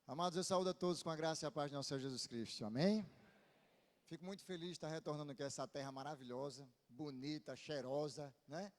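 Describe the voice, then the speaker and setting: A man preaches in a northeastern Brazilian accent, with a voice a little higher than usual for a man. He speaks to the congregation informally, as a pastor, often tagging his statements with small questions. The sound carries the long reverb of a big hall. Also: fast talker. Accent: northeastern Brazilian, from Paraíba. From Paraíba, northeastern Brazil. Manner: informal, often seeks response with short tag questions. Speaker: visiting Baptist pastor preaching. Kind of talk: sermon to a congregation. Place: church pulpit, amplified in a large hall.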